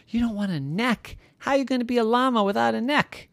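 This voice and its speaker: A man's voice: medium pitch, sing-song, unhurried, fairly bright tone, no echo. A man voicing a wise old woman in a storybook tale, with a folksy, questioning manner. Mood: skeptical.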